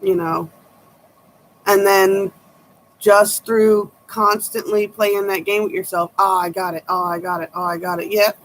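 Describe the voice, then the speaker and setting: A woman tarot reader with a low voice, giving blunt, sharp-tongued advice in a casual chat to her viewers. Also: fairly even intonation, unhurried, slight background noise, poor audio.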